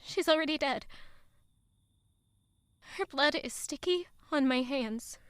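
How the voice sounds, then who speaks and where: A woman's voice: high voice, takes it slowly, fairly bright tone, dry recording. An actress playing a guarded young woman, anxious and grieving, in an audio drama. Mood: shaken, grave. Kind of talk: audio drama acting and first-person narration.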